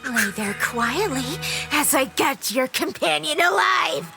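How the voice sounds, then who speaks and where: A woman's voice: sits high, animated, slow pace, creaky, music in the background, exaggerated voice. A woman voicing a sadistic, theatrical villainess who mocks and gloats over her prey in a fantasy audio drama. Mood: smug, menacing. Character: sadistic, gleeful villainess, an otherworldly being who toys with prey.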